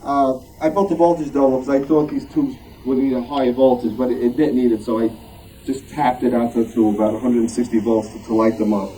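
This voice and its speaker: A man gives an informal show-and-tell talk in a rambling, self-deprecating style. His mid-pitched voice is slightly dull, picked up from a distance with noticeable room noise. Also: fairly even intonation, fairly quick, warm tone. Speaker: club presenter and moderator at show and tell. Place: club meeting room. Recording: distant mic, noisy room.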